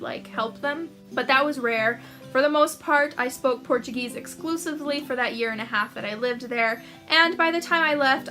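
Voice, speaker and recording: A woman's voice: fairly high pitch, bright, forceful tone. A young American woman telling personal stories to camera in a chatty, casual way, laughing often and filling with "like". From United States. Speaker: author vlogger.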